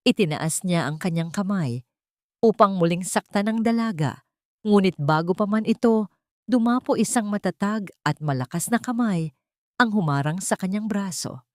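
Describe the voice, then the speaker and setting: A woman narrating a scripted story in a solemn, deliberate, dramatic manner. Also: mid-range voice, expressive, a little mellow, dry recording, clean studio sound.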